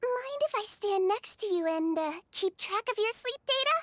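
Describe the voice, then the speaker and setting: A woman voicing a small, sweet young female melusine nurse in a video game, with a childlike voice and a gentle, earnest, reassuring manner. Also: extremely high pitch, animated, normal speed, no echo. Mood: caring. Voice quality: childlike, girlish. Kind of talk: video game character voice lines. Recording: studio voice over game music.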